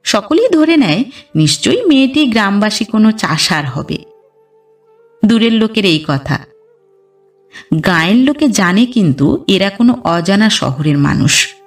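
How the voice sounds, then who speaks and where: A woman reads a literary story as a scripted audiobook narration, in a measured storytelling delivery. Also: medium pitch, very animated, far from the mic. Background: background music.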